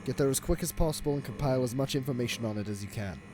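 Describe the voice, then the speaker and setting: A man playing a calculating, commanding gang boss in an audio drama, speaking in a measured, deliberate, authoritative way. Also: mid-range voice, background noise, close to an everyday voice. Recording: music under the voice. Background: dramatic music.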